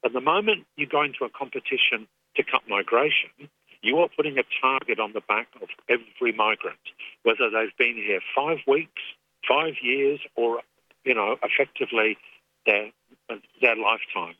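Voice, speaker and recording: A man with an English accent, speaking as an activist guest in a radio phone interview, earnest and measured with frequent restarts. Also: medium pitch. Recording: tinny phone line.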